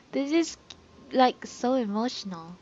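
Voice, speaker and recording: A woman's voice: on the high side, very animated, takes it slowly, a little hiss or hum. A woman giving casual, sentimental reactions as she plays through a video game, recorded on a lo-fi microphone. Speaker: game streamer. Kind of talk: casual playthrough commentary. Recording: dull lo-fi microphone.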